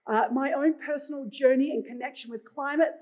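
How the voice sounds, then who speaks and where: Australian woman, middle-aged, high voice, chatty stage moderator with fillers. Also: fairly even intonation, a little fast, darkish tone, muffled recording. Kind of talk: conference stage introduction. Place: conference stage.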